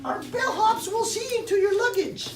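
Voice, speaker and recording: A woman's voice: extremely high pitch, moderate pace, noisy background, voice pushed up. A woman voicing a small, polite, officious mouse hotel manager in a storybook read-aloud, with an audience in the room. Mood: courteous. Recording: echoey room, far mic, audience chatter.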